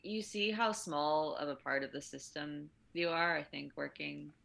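A woman's voice: deep voice, measured pace, vocal fry, fairly bright tone. A woman hosting a podcast, talking in a casual, conversational way. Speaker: podcast host, dance teaching artist.

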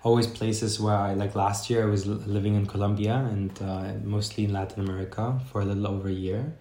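A man from Germany talks through his answers slowly, doubling back and filling pauses as he thinks. His voice sits low. The sound carries a roomy echo. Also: flat delivery, a little mellow. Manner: reflective, rambling with many fillers and restarts. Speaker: podcast guest, life coach. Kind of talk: casual interview chat.

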